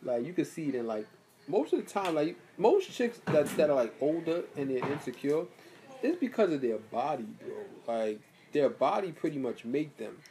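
Man, thirties, relaxed slangy co-host with an animated, swinging delivery and a faint hiss of room ambience behind. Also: normal speed. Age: 30s. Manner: laid-back slangy talk, lively pitch swings. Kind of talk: casual chat.

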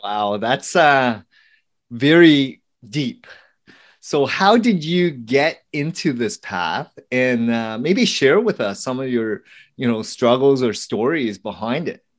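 Slow, halting male podcast host. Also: medium pitch, lively intonation. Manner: hesitant, halting. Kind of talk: interview questions.

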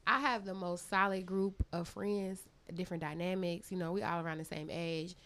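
A woman's voice: on the low side, fairly even intonation, moderate pace, fairly bright tone. A woman talking casually in a group chat, blunt and emphatic, swearing and cutting in. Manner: blunt and emphatic, swears, talks over others. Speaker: bartender, podcast co-host.

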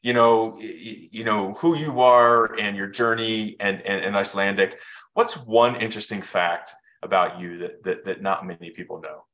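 Male podcast host, casual interviewer, lowish pitch with a bright, punchy edge. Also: fairly even intonation, moderate pace.

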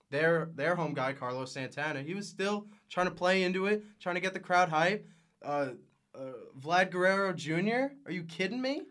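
A young man with a fairly high voice talks sports on a podcast. He is animated and opinionated, and he leans hard on his points. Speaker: podcast guest. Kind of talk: casual sports chat.

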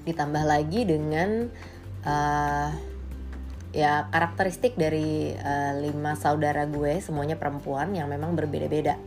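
A woman's voice: low voice, steady tone, measured pace, music in the background. A woman in her late twenties from Indonesia giving a casual, candid monologue, blunt and slangy, with English phrases mixed in. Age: late 20s.

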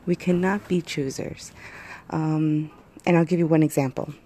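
A woman hosting a casual solo podcast, talking into her phone with a hoarse voice and many pauses and fillers. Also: sits low, fairly even intonation, warm tone, a little hiss or hum. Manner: rambling, hesitant, many fillers. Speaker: podcast host.

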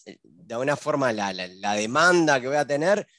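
A man's voice: medium pitch, expressive, normal speed, fairly bright tone. A man from Uruguay with a Uruguayan accent, a business consultant chatting casually as a guest and peppering his talk with fillers and tag questions. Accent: Uruguayan Spanish. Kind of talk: casual conversational interview.

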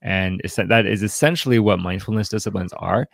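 A man hosting a podcast, discussing in a casual way, haltingly, often repeating words and saying "like". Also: lowish voice, vocal fry, no echo, studio quality. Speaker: podcast host.

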